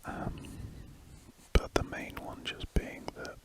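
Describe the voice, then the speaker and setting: An adult whispering casual updates in ASMR style while drawing, rambling and often restarting sentences. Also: slow pace. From United Kingdom. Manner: soft rambling whisper with frequent restarts and self-corrections. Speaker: ASMR artist.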